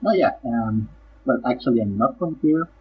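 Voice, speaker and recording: A man's voice: medium pitch, normal speed, slightly rough. A man from El Salvador whose first language is Spanish, chatting casually with short, easy answers. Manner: relaxed, laughs easily, short answers. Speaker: guest co-host. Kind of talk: casual online chat. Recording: dull distant call audio.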